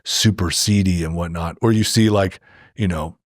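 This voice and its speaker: A man's voice: low-pitched, moderate pace, no room sound, clean studio sound. An American man chatting casually as a podcast co-host, rambling through opinions and anecdotes and acting out imagined remarks. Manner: rambling, filler-heavy, acts out imagined remarks.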